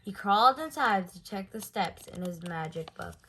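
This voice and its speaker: A woman reading a children's story aloud in an expressive way, stressing words for effect. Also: medium pitch, normal speed, husky. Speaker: storybook reader.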